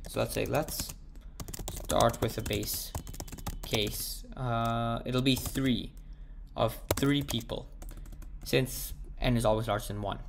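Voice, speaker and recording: A man with a sore, croaky throat, thinking aloud as he works through a math problem and slowing down as he types the proof. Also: medium pitch, steady tone, background noise. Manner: thinks aloud, slows down while typing. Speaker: math tutor. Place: at a computer, screen recording.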